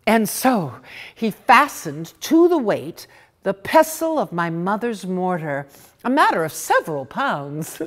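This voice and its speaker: A woman reads a short story in a theatrical, dramatic way. Her delivery is unhurried and her pitch moves widely. She hesitates on purpose and repeats words for effect. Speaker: reader of short stories. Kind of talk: theatrical, expressive literary story reading.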